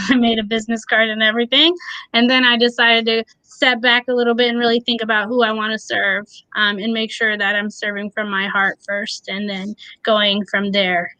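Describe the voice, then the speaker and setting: A woman's voice: on the high side, flat delivery, moderate pace, pushed and bright, dry recording, rough recording. A woman in her thirties, a guest on an interview, speaking openly and reflectively with no accent. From born in a Russian-speaking country, adopted to the US at six. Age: 30s. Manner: open, reflective, light nervous laughs. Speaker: guest, preschool educator and aspiring life coach. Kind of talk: candid personal interview.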